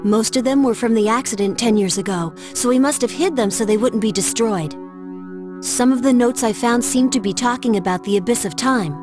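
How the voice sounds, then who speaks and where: A woman playing a gentle, studious teenage girl in a video game, explaining things politely and earnestly. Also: on the high side, fairly quick, over music, natural voice.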